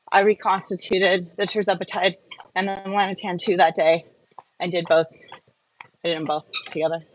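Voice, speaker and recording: A woman's voice: mid-range voice, fairly bright tone. Chatty woman on a noisy live stream. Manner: informal, rambling, chatty. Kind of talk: casual live Q&A chat.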